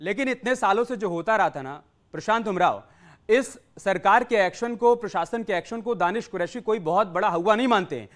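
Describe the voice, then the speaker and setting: Male TV anchor, high voice, presses panelists and cuts in. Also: fairly quick, fairly bright tone. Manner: presses guests for answers, interjects. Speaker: news anchor moderating a debate. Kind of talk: TV news debate moderation.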